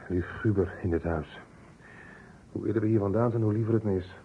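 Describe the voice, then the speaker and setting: Actor as low-voiced journalist, dull old recording. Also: male voice, fairly even intonation, a little fast, close to an everyday voice. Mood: uneasy, observant. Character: male journalist assisting an investigation, observant, uneasy. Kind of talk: Dutch radio play acting. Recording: dull old radio recording, faint noise.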